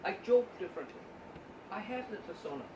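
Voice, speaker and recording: A man addresses a small audience in a loose, conversational way and keeps restarting his sentences. His voice sits a little high. The recording is distant and roomy, with steady background noise. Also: a little fast. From Uganda. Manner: hesitant, often repeats and restarts words. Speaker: guest speaker, statistician. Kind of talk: informal talk to a book club audience. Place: indoor meeting room at a book club.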